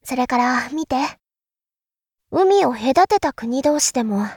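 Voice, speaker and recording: A woman playing a calm, enigmatic elder sister in an audio drama, speaking in a deliberate, philosophical, teacherly way. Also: sits high, no room sound, studio quality, full character voice. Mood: calm explaining. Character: calm, enigmatic elder sister musing darkly about world affairs. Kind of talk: Japanese audio drama acting.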